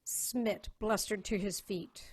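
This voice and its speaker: Casting: female narrator for a science fiction reading, medium pitch, measured storyteller delivery. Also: fairly even intonation, breathy edge. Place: online video call reading.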